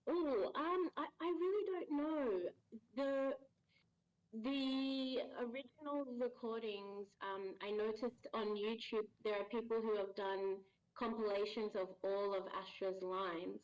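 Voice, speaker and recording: A woman voice actor being interviewed as a guest, explaining her work in a measured, thoughtful way with many pauses. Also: on the high side. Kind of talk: casual interview chat. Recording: dull lo-fi sound.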